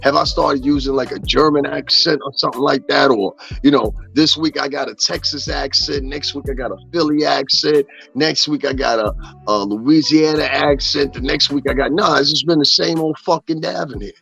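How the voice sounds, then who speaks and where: A man's voice: on the high side, steady tone, moderate pace, creaky, sharp tone, lo-fi sound, soft background music. Profane ranting man on a stream. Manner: profane, ranting, repeats "you understand what I'm saying". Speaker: commentary streamer. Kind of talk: casual gossip commentary.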